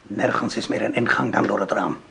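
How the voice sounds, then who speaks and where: An actor playing a hard, wary resistance plotter in a radio drama, speaking in a terse, probing, conspiratorial manner. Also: male voice, lowish voice, steady tone, brisk, vocal fry, background noise. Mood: tense, conspiratorial.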